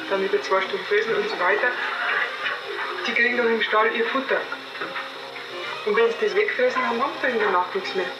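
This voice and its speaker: Man, casual rambling questions, high-pitched, quick, echoey far-off muffled recording. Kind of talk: casual spontaneous discussion. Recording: echoey, distant, dull, loud music.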